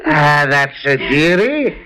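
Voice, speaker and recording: High, swooping rakish rogue. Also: male voice, big pitch swings, takes it slowly, sharp tone, a little hiss or hum, full character voice, low-quality recording. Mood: playful wooing. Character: rakish 18th-century deserter, tipsy flirtatious rogue. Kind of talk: old-time radio drama acting.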